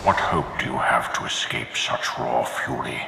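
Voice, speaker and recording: A man voicing a grave, ominous old Sith spirit, speaking in a solemn, measured, imposing way for a video game. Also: a bass voice, gravelly, exaggerated voice. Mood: stern challenge. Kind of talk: dramatic video game voice acting. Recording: echoing reverb, music behind.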